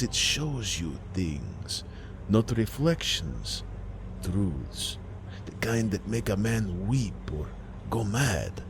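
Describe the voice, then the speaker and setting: A man's voice: lowish voice, background noise, heavily acted. A man voicing a coaxing immigrant salvage boss in an audio story, dramatic and drawn out in his emphasis. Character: wheedling, gold-toothed salvage boss, male immigrant sailor.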